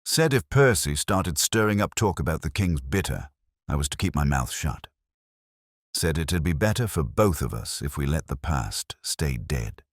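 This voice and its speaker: A man's voice: a bass voice, sing-song, normal speed, husky, no echo, clean studio sound, exaggerated voice. A man voicing a panicky, defensive publican who pleads and protests in a spoken story. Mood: uneasy.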